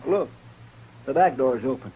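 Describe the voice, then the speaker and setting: A man's voice: medium pitch, animated, unhurried, warm tone, close to an everyday voice. A man playing a loyal, folksy deputy in a radio western. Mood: earnest. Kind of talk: 1950s radio western acting. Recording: noisy old radio broadcast recording.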